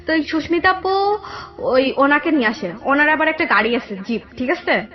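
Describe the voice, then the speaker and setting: A young woman with a Bangladeshi accent telling her personal story in a radio interview, halting and repeating herself. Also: high-pitched, animated. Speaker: guest telling own life story. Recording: dull lo-fi radio audio, background music.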